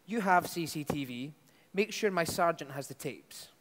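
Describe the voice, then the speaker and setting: Man acting a brisk police inspector, echoey hall. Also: on the high side, expressive, fairly bright tone. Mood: questioning, brisk. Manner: curt, interrogating, dry. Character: brisk, gruff male police inspector questioning staff. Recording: echoey hall, picked up in the room.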